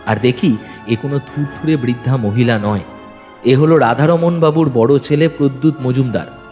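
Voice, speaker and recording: A man's voice: medium pitch, moderate pace, a little mellow. A man narrating a detective audio story in the first person, suspenseful and dramatic. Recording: long reverb, music bed. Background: music.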